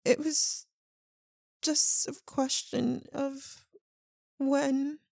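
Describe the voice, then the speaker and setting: Lovesick vampire woman, voiced by an actress: anguished ASMR roleplay, halting and soft, mellow tone, very slow delivery. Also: sits high, little pitch movement, gravelly, no room sound, clean studio sound, full character voice. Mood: tender, tearful. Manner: halting, hushed, emotional delivery with long pauses. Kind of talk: ASMR roleplay, intimate dramatic monologue.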